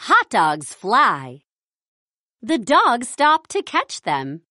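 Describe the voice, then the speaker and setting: A woman reads a children's storybook aloud in a slightly high voice. She goes word by word, very slowly, with a very lively melody, so young readers can follow along. The sound is dry. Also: no echo. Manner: slow, careful, word-by-word delivery for young readers. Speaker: storybook narrator. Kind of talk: scripted children's read-along narration.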